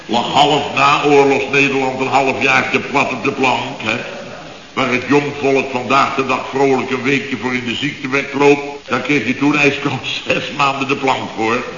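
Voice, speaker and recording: An actor playing a grumbling, exasperated middle-aged contractor who rants sarcastically in clipped half-sentences in a radio comedy. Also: male voice, fairly even intonation, unhurried, pushed and bright, echoey room, big-room sound. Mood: sardonic. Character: grumbling, exasperated male building contractor, long-winded storyteller. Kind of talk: 1970s radio comedy acting. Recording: boomy, muffled old radio recording.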